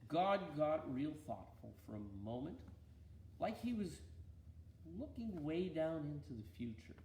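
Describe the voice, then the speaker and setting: A man reading a children's Bible storybook aloud with an expressive, deliberate storytelling manner. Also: fairly high pitch, roomy sound, slight background noise.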